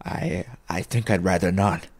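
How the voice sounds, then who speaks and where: A man's voice: deep voice, unhurried, darkish tone. A man voicing a fearful, businesslike old miser in a storybook reading, humble, hesitant and pleading. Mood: nervous, reluctant. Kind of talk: dramatic classic storybook reading.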